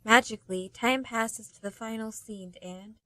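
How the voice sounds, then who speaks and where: An actress playing the knowing storyteller who reads the scripted narration of a radio play. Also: female voice, on the high side, steady tone, normal speed, pushed and bright, no echo. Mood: storytelling.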